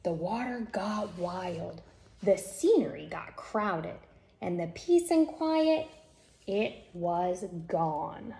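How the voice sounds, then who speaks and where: A woman narrates a children's story with dramatic phrasing. She takes her time and varies her pitch widely. The recording is echoey, with a little background noise. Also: expressive, slow pace, vocal fry, mellow. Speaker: storyteller reading a picture book aloud. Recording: echoey room with faint noise.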